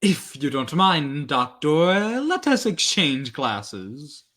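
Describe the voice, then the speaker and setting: A cool professional killer in an old-time radio thriller, acted by a man, speaking in a fairly high male voice at a very slow pace. He is formal and measured, understating every threat with careful politeness. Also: very animated, fairly bright tone, full character voice. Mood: polite menace.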